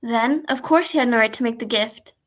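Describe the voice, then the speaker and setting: A woman's voice: fairly high pitch, fairly quick, a bit bright, close to an everyday voice. A woman playing an eager, chatty announcer in an old-time radio drama, hosting and prompting the storyteller. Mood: curious, attentive. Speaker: announcer and host.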